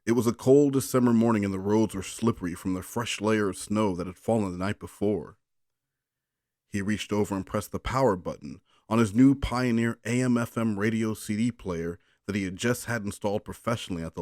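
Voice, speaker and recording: A man's voice: lowish voice, a little mellow, no echo, clean studio sound. A man chatting casually and reading a story aloud on a phone call, coaxing and teasing in a rambling way. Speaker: bedtime story reader on a call.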